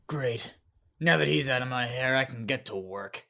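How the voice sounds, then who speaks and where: Man playing a gravelly, hot-tempered army general. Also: mid-range voice, moderate pace, noisy background, lightly acted. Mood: cold, scornful. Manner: gruff, commanding. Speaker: voice actor. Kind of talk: animated fantasy drama acting.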